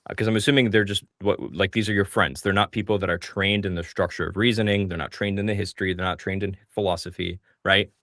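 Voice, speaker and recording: A man's voice: low-pitched, steady tone, fast, hardly any pauses, a bit bright, no echo, clean studio sound. A man speaking on a call-in show, giving blunt and direct advice.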